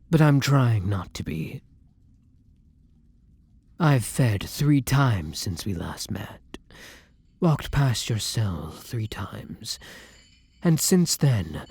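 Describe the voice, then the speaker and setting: A man playing a gentle, tormented vampire in an intimate ASMR roleplay, speaking in a breathy hush with halting, dramatic pauses. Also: fairly low pitch, no echo, exaggerated voice. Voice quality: breathy, hushed, dropping into whispers. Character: tormented, gentle male vampire torn between hunger and compassion.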